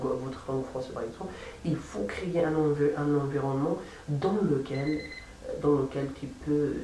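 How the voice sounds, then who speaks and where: A man with a non-native accent talks casually and unscripted as a language-learning vlogger, haltingly repeating words as he searches for phrasing. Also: a little high, darkish tone. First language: not French or English. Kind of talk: casual unscripted vlog talk. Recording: echoey room, distant mic, faint hiss.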